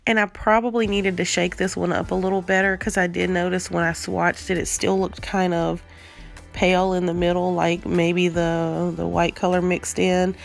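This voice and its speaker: A woman's voice: fairly low pitch, normal speed, gravelly, faint music behind. A woman with a stuffy voice from a cold, chatting casually with lots of ums as she unboxes nail products. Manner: chatty, frequent ums, relaxed. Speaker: nail art vlogger.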